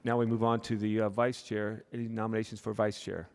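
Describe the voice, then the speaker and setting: Gravelly low-voiced man chairing a meeting. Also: little pitch movement, darkish tone. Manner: procedural, measured, with fillers. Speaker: council chair.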